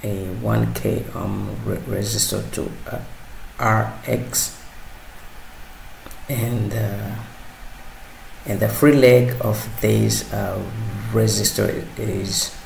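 Man, low creaky fry-heavy voice, halting tutorial narration, echoey room. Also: steady tone, a little mellow. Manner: halting, hesitant, repeats words while working. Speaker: electronics tutorial host. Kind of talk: unscripted hands-on tutorial narration. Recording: roomy sound with faint background noise.

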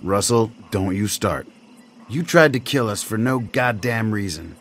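An actor playing a menacing drifter in a video game, with an American accent and a brash, domineering way of talking. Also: male voice, fairly low pitch, lively intonation, a little slow, slight background noise. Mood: cold, threatening. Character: foul-mouthed, menacing drifter, adult man. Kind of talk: dramatic video game acting.

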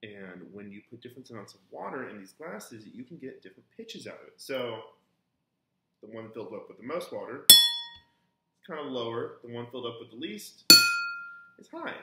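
A man in his thirties, a music teacher giving a relaxed, chatty lesson at home, full of ums and uhs, recorded by a camera on a kitchen table. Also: mid-range voice, fairly quick. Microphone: camera on the table in front of him. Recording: echoey kitchen with faint noise. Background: glasses clinking.

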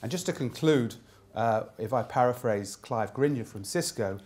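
A man in his fifties delivering a keynote speech, summing up from notes and often halting to repeat words. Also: medium pitch, a little mellow. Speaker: keynote speaker summing up a design conference. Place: indoor room with plain wall.

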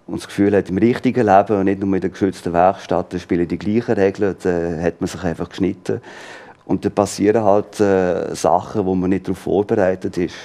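A man in his fifties with a Swiss German accent, a guest in a studio interview, relaxed and laughing often as he thinks aloud. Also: low voice. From born in London, raised in Graz and Olten. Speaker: author guest. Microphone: lapel.

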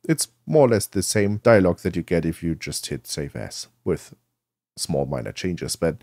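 Low-voiced man with lively intonation. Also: normal speed, dry recording, studio quality. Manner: explanatory, step-by-step. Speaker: tutorial presenter. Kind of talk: spoken software tutorial.

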